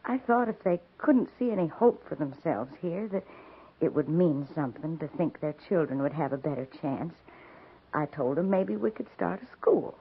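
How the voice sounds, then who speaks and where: A woman's voice: deep voice, animated, normal speed, breathy edge, full character voice. An actress playing a timid, earnest frontier wife in a 1950s radio western, hesitant and self-effacing as she confides. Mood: remorseful, earnest. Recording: tinny, hissy 1950s radio recording.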